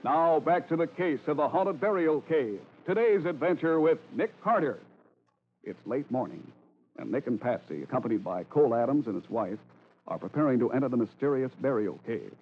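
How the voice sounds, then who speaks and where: A man's voice: mid-range voice, lively intonation, normal speed, soft background music. A man announcing in a formal, polished 1940s radio style, reading scripted narration and sales copy. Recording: tinny old radio broadcast recording.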